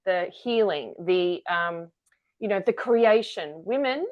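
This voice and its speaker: A woman's voice: lowish voice, animated, takes it slowly, muffled recording. A woman, a guest telling her story candidly in an interview. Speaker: guest, energy-work founder. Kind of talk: conversational interview.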